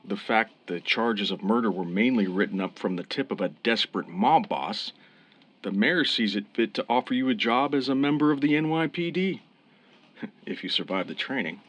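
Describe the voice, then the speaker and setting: An actor in a radio drama playing a fair-minded, encouraging New York police officer. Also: male voice, lowish voice, expressive, moderate pace, a bit bright, no room sound. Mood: persuasive.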